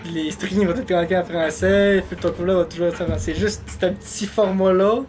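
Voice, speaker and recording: A man with a Québécois accent co-hosting a podcast, rambling casually, laughing often and trailing off quietly. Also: high voice, fairly even intonation, normal speed, soft background music. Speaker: podcast co-host. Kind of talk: casual chat.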